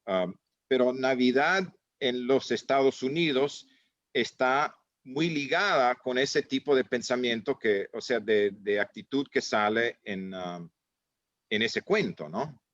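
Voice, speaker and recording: A man's voice: medium pitch, slow pace, a bit bright, dry recording, lo-fi sound. A man who grew up in Italy and France, moderating a casual panel discussion and rambling with many fillers and restarts.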